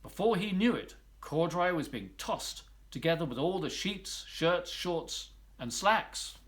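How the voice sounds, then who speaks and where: Man, children's story narrator, slightly high pitch, animated delivery. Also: unhurried. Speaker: volunteer storybook reader.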